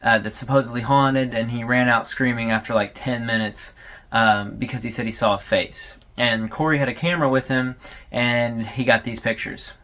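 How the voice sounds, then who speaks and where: A man's voice: medium pitch, fairly even intonation, normal speed, fairly bright tone, slight background noise. Casual, hesitant man doing video commentary. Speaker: host of a horror series analysis video.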